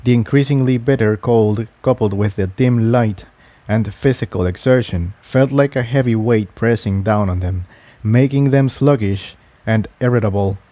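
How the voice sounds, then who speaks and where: A man's voice: mid-range voice, normal speed, warm tone, dry recording. A man reading a scripted adventure story aloud as its narrator. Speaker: fan fiction narrator.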